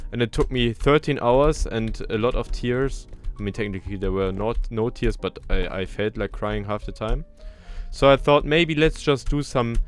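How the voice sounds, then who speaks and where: A man's voice: medium pitch, clear, resonant tone. A young man with a German accent, casually talking through a parkour game run as a gaming channel host, chatty and self-deprecating, thinking aloud as he plays. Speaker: gaming YouTuber. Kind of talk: casual gameplay commentary.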